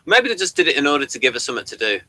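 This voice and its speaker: A man's voice: fairly high pitch, big pitch swings, racing, pushed and bright, no room sound. A man hosting a casual discussion of an audio drama, asking the others for their views. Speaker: podcast host.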